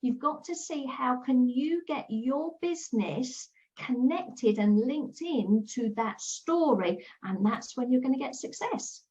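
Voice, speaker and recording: A woman's voice: high voice, animated, slow pace, hardly any pauses, a little mellow, muffled recording. A woman talking as a guest expert, giving direct, practical PR advice in a casual chat and often repeating her points.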